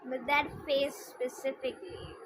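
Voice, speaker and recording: A woman's voice: sits high, flat delivery, measured pace, noisy background. A woman streamer giving casual gameplay commentary and reading dialogue aloud, blunt, joking and sweary. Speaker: game streamer. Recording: loud game music behind voice. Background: loud game music.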